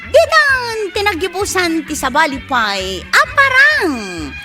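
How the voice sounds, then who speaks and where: A woman's voice: very high-pitched, huge pitch swings, slow, loud music behind the voice. A woman with an Ilocano Filipino accent reading scripted credits as a radio drama announcer.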